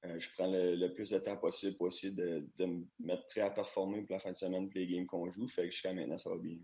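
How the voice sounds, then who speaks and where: Young man, Québécois accent, hockey player, low voice, relaxed, colloquial. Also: fast. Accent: Québécois French. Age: young adult. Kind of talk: interview answers.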